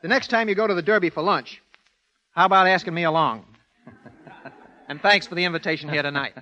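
A man's voice: sits high, fairly quick, noisy background. A man speaking as a guest detective in a prepared, precise, explanatory 1930s radio style. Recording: dull, lo-fi 1930s radio recording.

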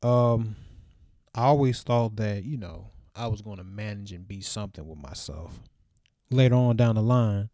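A man's voice: on the low side, mellow, no room sound, clean studio sound. A man in his twenties talking to camera in a loose, reflective monologue full of fillers, recorded in a small room. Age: 20s. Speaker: vlogger. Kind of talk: casual rambling monologue.

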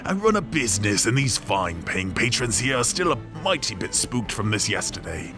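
An actor playing a folksy, talkative tavern keeper in a fantasy game, using old-timey turns of phrase. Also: male voice, on the low side, very animated, normal speed. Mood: genial, storytelling. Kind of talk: animated comedic fantasy voice acting. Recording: music behind the voice.

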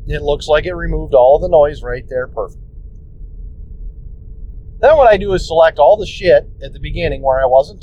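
Rambling man recording in a car. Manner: rambling, restarts, plainspoken with mild swearing. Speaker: podcast host. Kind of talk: casual instructional talk. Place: inside a car on the road. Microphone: phone recorder. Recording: muffled phone recording with road noise. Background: road rumble.

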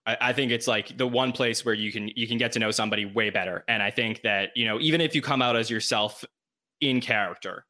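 A man hosting a casual roundtable podcast, talking quickly in long run-on sentences. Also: mid-range voice, bright, forceful tone. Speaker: podcast host.

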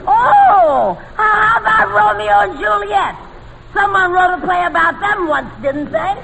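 A woman's voice: extremely high pitch, unhurried, vocal fry, fairly bright tone, full character voice. An actress playing a chatty, dim-witted female quiz panelist, naive and oblivious, in 1940s radio comedy style. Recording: echoey hall, faintly noisy old radio recording.

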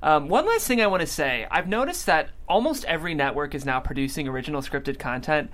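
A man's voice: on the high side, lively intonation, fairly quick, fairly bright tone. A man hosting a casual panel show, speaking in an effusive, gracious way over a noisy recording. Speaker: show host. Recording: clearly noisy room sound.

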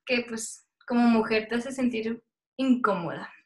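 A woman's voice: a little high, unhurried. A woman hosting a casual conversation, asking hesitant, meandering questions.

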